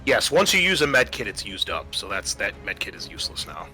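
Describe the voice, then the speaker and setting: A man's voice: lowish voice, big pitch swings, fairly quick, bright, forceful tone, faint music behind. A man acting as game master, narrating and explaining the rules of a tabletop horror roleplaying game in a conversational way.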